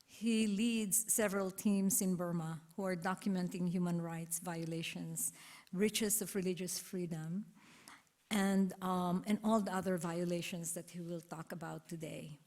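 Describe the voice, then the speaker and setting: A woman's voice: on the low side, steady tone, moderate pace, a little mellow. A woman giving a formal introduction of a guest speaker at a conference, mostly reading from prepared notes. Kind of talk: formal scripted introduction with some ad-libs. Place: conference auditorium.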